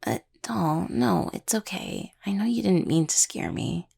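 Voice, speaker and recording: A young woman playing a caring, teasing necromancer healer in an intimate audio roleplay, with a husky voice and conversational delivery. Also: on the low side, animated, a little slow, a little mellow, full character voice. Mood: tender, hesitant. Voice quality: husky, gravelly. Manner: hesitant, teasing, full of pet names.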